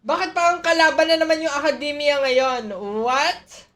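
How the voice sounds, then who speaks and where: A woman's voice: sits high, moderate pace, a bit bright, slight background noise. A woman with a Filipino accent reacting casually to a game, exclaiming and switching languages as a streamer does. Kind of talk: casual live game reaction, Tagalog-English code-switching.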